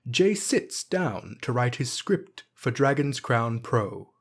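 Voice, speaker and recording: A man voicing a solemn storybook narrator from a fantasy game, slow, deliberate and dramatic. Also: medium pitch, sing-song, slightly rough, voice pushed down, heavily acted. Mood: solemn, storytelling.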